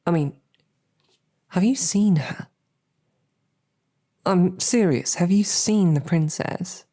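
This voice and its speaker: Woman acting a low-voiced knight, smitten and halting. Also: warm tone. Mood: smitten, awkward. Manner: halting, self-deprecating, colloquial tag questions. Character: smitten lowborn female royal guard, snarky, awkward, earnest knight. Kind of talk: scripted audio roleplay, halting heartfelt banquet speech.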